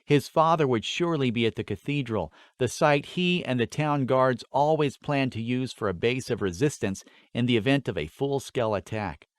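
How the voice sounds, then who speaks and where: A man narrates an epic fantasy audiobook in an earnest, dramatic way. His mid-low voice is recorded very dry, with hardly any room around it. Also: normal speed, few pauses, no echo. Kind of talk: scripted fantasy story narration.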